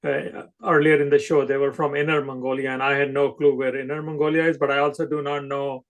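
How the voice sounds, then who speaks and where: A man's voice: medium pitch, level tone, fast. A middle-aged man hosting a podcast, chatting casually with his guest and hesitating often with fillers and restarts. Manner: emphatic, scripted show intro. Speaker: podcast host. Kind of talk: casual interview chat.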